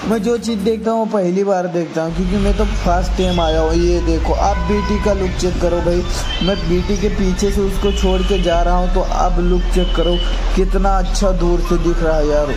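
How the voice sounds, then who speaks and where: A young man chats freely to his viewers as he walks the streets at dawn, holding his phone out at arm's length. His voice sits a little on the high side and is friendly and talkative. Music plays clearly underneath his words. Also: fairly even intonation, normal speed. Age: young adult. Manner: chatty, addresses viewers as bhai and yaar. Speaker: vlogger. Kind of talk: casual vlog narration. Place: outdoors on city streets and at a railway station at dawn. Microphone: phone held at arm's length.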